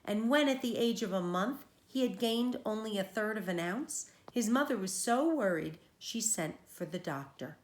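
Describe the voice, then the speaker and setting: A woman's voice: medium pitch, lively intonation, moderate pace, hardly any pauses, echoey room. A woman teacher reading a children's storybook aloud, explaining things to young listeners as she goes.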